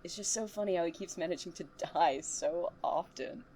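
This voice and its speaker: Smug, needling student seer for audio drama, played by a woman; low register, theatrical, cryptic. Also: normal speed, warm tone, slight background noise, close to an everyday voice. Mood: taunting. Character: taunting, smug, cryptic student who claims visions.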